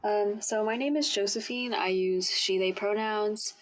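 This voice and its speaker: A young woman who grew up in China and New York, speaking in a measured, thoughtful way in a documentary interview. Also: medium pitch, fairly even intonation, background noise. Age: young adult.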